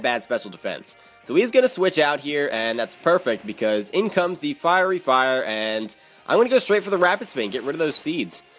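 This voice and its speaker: A man's voice: medium pitch, a bit bright. A man delivers play-by-play commentary on his own video game match in a mid-range voice. He often repeats words and short phrases to stress a point. Music plays behind his voice-over. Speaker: gamer narrating own battle video.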